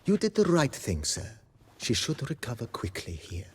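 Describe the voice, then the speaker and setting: A man's voice: medium pitch, wildly swooping pitch, normal speed, slight background noise. An actor playing a deferential male majordomo in a fantasy video game, formal, mannered and eager to serve. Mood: polite, reassuring. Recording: music behind the voice.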